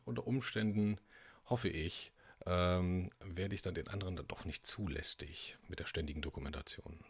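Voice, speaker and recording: A man's voice: deep voice, normal speed, husky, no room sound. A man talking casually as a player in an online tabletop role-playing game. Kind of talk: casual introduction of a game character. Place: indoor room at home, online video call.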